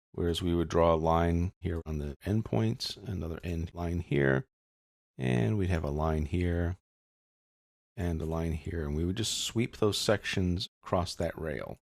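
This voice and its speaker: Man, low voice, dry sound, explaining software steps casually like a screencast tutorial. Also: vocal fry, dry recording. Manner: explanatory, informal step-by-step. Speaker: software instructor. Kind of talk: instructional screencast narration.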